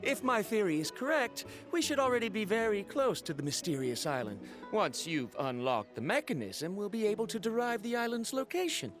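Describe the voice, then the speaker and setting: A man's voice: sits high, big pitch swings, fairly quick, hardly any pauses, a bit bright, faint music behind. An actor playing an earnest male researcher in a video game, explaining things in a formal, pedantic way. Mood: earnest, eager. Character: earnest scholarly male researcher of ancient dragons. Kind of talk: scripted video game dialogue.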